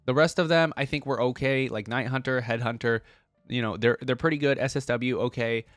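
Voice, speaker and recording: A man's voice: medium pitch, brisk, fairly bright tone, dry recording, faint music behind. Man giving casual reaction commentary, dry sound. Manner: informal, slangy, thinking aloud. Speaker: reaction video host.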